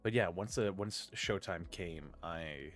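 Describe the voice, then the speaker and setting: A man hosting a live stream in casual chat, groggy from just waking up and rambling in a low-key way. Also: unhurried, faint music behind. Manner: rambling and low-key, with many filler words. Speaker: streamer and podcast host.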